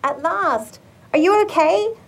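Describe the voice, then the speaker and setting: A high, animated, teasing partner. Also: female voice, takes it slowly, vocal fry, a little hiss or hum, exaggerated voice. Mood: weary, teasing. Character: tired, teasing woman welcoming partner home. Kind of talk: full-cast audio drama acting.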